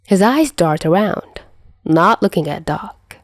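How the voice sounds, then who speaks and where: A woman reads fan fiction as a narrator. Her voice sits on the low side for a woman, and the recording is dry with little room sound. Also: lively intonation, slow, dry recording. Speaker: podfic narrator.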